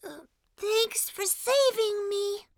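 A woman voicing a small, mischievous mushroom boy with a squeaky, childlike voice in a children's audio story. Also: sing-song, very slow, sharp tone, dry recording, heavily acted. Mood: sheepish.

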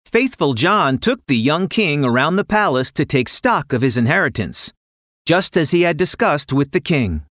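Animated, higher-voiced male storyteller. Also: moderate pace, dry recording, studio quality. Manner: dramatic, playful sound words. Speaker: storyteller, narrator. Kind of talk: animated children's fairy tale narration.

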